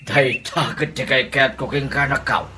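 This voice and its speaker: A man's voice: mid-range voice, fairly even intonation, unhurried, lightly acted. Man playing a protective, earnest father, noisy background. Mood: encouraging. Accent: Filipino (Ilocano). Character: protective, encouraging middle-aged father. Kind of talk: Ilocano comedy radio drama acting.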